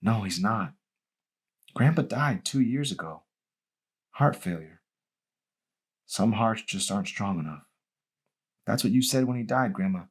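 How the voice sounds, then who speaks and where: Guy reading as a sad troubled grandson, low voice, gentle n hesitant, audiobook dialogue. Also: animated, measured pace, long pauses, husky. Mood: sorrowful, firm. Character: troubled, grieving young grandson, gentle and uncertain. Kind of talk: audiobook character voice.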